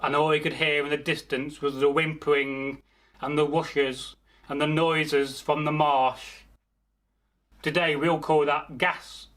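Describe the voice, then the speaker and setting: A man telling a folktale aloud as a storyteller, theatrical, pausing mid-phrase and speaking directly to his listeners. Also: fairly high pitch, level tone, a bit bright. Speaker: storyteller, channel host. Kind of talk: oral folktale storytelling, improvised.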